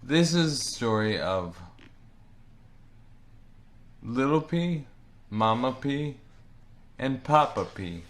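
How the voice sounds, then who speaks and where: A man recording video diaries for his son, speaking slowly and deliberately. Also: mid-range voice, very animated. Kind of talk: home video diary.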